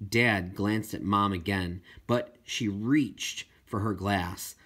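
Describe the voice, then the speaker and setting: A man reading a first-person children's novel as an expressive audiobook narrator. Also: lowish voice, slow. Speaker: audiobook reader.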